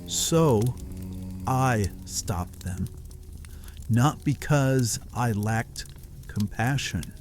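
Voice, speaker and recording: A man playing a ruthless, coldly reflective villain in a dark fantasy ASMR monologue, reading his letter in measured, deliberate phrases with short pauses. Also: mid-range voice. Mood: ruthless, resolute. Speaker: writer and performer of an audio series. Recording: music under the voice.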